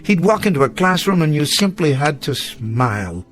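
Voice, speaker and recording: A man's voice: on the high side, sing-song, moderate pace, a bit bright, faint music behind. An older man acting in a radio drama as a weary old professor full of regret, speaking in a formal, literary way. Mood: fond reminiscing. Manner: formal, literary, reflective speech.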